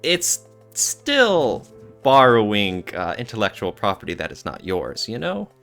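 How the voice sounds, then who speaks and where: A man's voice: on the low side, huge pitch swings, a little slow, gravelly, fairly bright tone, faint music behind. A man co-hosting a podcast in casual discussion, often searching for his words. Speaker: podcast co-host.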